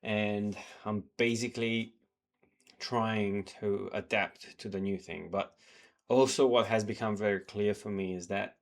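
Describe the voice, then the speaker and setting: A man's voice: on the low side, fairly even intonation, a little slow. A man slightly sick, talking casually through his trading journal and charts, rambling with many fillers and restarts. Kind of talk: casual talk to camera, reviewing charts. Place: home in New Zealand.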